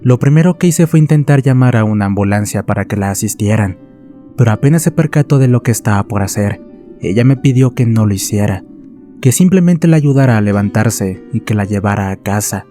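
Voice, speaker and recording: A man narrating a scripted horror story in a solemn, measured, dramatic way. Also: on the low side, animated, dark tone, faint music behind.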